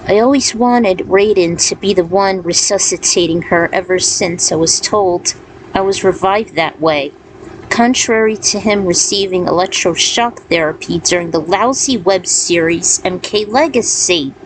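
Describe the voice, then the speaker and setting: A woman's voice: fairly low pitch, normal speed. A woman reading her own fan fiction and author's notes as a storyteller. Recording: clearly noisy background.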